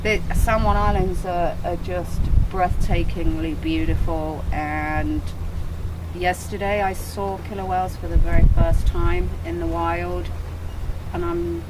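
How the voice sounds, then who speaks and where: A woman journalist answering questions earnestly on a windy waterfront, boat engines loud around her. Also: on the low side, steady tone, measured pace. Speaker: freelance journalist being interviewed. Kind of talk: candid unscripted interview.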